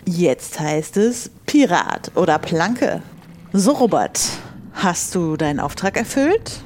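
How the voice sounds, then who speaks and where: A man's voice: high-pitched, sing-song, slow, slight background noise, pitched above the performer's own voice, heavily acted. A man voicing a tired, stern female pirate captain who challenges her crew in an audio adventure game.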